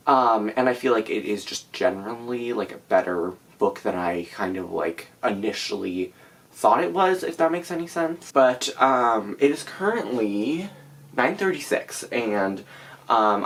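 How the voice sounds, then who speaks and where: A man's voice: lowish voice, a bit bright. A teenage boy with a stuffy nose from a cold, chatting casually to camera about his reading plans with many fillers. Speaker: book vlogger.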